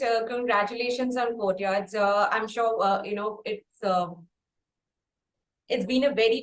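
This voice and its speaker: A woman's voice: medium pitch, rough recording. Indian woman hosting a conversational interview. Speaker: interview host.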